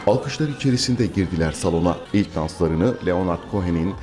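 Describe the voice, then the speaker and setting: A man's voice: sits low, animated, brisk, very noisy. A man reading scripted narration as a news reporter. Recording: music under the voice. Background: music.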